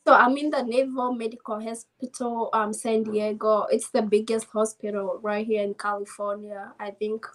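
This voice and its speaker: Kenyan woman, soft-spoken, young, slightly high voice, casual chat. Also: flat delivery, moderate pace, few pauses. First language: Swahili. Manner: soft-spoken, mixes Swahili and English, laughs often. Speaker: interview guest, navy sailor.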